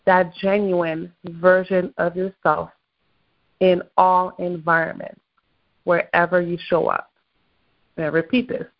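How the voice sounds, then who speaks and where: French canadian lady hosting a morning pep talk, upbeat, coachy, kinda muffled lo-fi audio. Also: lowish voice. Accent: Québécois French. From Quebec, Canada. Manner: upbeat, coaching, asks listeners questions. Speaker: host of a morning motivational call. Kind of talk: motivational talk.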